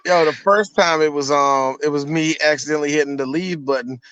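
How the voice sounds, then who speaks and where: A man co-hosting a casual podcast over a call, excited and emphatic, swearing freely. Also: fairly high pitch, a little fast, smooth, no room sound, muffled recording. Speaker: podcast co-host. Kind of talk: casual chat.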